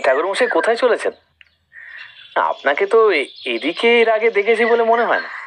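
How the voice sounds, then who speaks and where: A man's voice: sits high, very animated, moderate pace, fairly bright tone, a little hiss or hum. A man playing a respectful, inquisitive villager, chatty and deferential, in an audio drama. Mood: curious, deferential.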